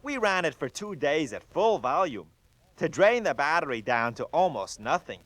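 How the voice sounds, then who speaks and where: Earnest male engineer, slightly high, expressive, dry studio. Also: normal speed, no echo. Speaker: sound engineer in a sketch. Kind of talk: scripted comedic explanation.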